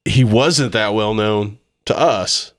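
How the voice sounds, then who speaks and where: A man's voice: lowish voice, slow pace, no echo, studio quality. A man in his forties chatting casually as a guest on a podcast, rambling and swearing, with frequent laughs. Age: 40s. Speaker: band member guest. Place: band rehearsal room.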